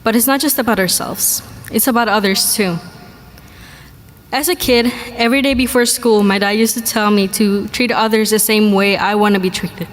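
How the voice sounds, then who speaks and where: A teenage girl from Mexico, a graduating student, giving an earnest, measured commencement speech at a podium in a large hall. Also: medium pitch, fairly even intonation. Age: teens. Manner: earnest, measured, slow delivery with pauses. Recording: reverberant hall, faint background noise.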